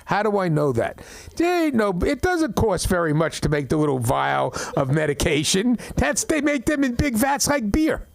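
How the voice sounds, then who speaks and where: A man's voice: sits high, normal speed, vocal fry, no room sound. Rambling middle-aged man doing stand-up. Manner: rambling, repeats words and restarts, deadpan complaints. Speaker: stand-up comedian. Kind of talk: open mic stand-up set. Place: comedy club open mic.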